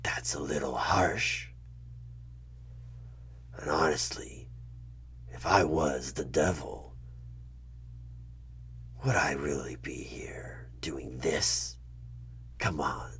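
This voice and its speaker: A man voicing a sly, coaxing demon recruiter in a husky whisper for a horror ASMR audio roleplay. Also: a bass voice, fairly bright tone, slight background noise, exaggerated voice. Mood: sly, defensive, persuasive. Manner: slow, drawn-out, insinuating, persuasive.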